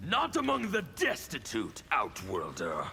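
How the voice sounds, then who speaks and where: Zealous revolutionary warrior, gravelly, forceful, very animated pitch, menacing, video game dialogue. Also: male voice, a little slow, faint music behind, heavily acted. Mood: fervent, defiant. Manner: theatrical, menacing, declamatory. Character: fanatical scarred revolutionary warrior, seething, defiant, zealous.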